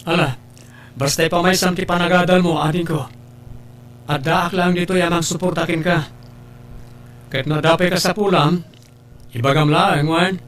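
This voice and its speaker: A man's voice: sits high, steady tone, normal speed, long pauses, fairly bright tone. A man with an Ilocano accent acting a devoted, humble older brother in a radio-style drama. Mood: tender, reassuring. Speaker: voice actor.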